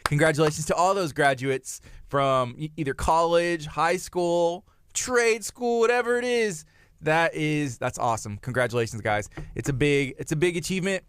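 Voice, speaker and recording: A man's voice: a little high, normal speed, fairly bright tone, a little hiss or hum. Upbeat chatty radio host, man. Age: 30s. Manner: upbeat, chatty, laughs often, restarts phrases. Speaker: radio morning show co-host. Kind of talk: casual live radio chat. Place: radio studio. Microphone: studio microphone.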